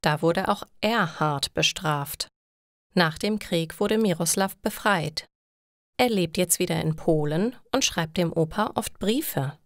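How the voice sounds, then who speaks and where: A woman reading scripted stories for language learners in a clear, deliberate narrating style. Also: on the low side, dry recording, clean studio sound.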